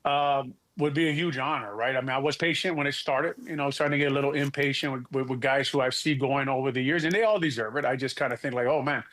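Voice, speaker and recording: A middle-aged man from Hawaii, a former football player, talking in a relaxed, halting way as an interview guest. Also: mid-range voice. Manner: easygoing, halting, many restarts. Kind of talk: casual interview talk.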